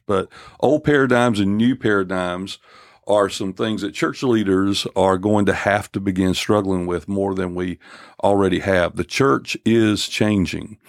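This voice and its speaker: A man in his fifties hosts a church podcast in an earnest, unhurried way. His voice sits very low with a gravelly edge. The sound is close and dry. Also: no echo. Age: 50s. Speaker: podcast host. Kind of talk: casual church podcast talk.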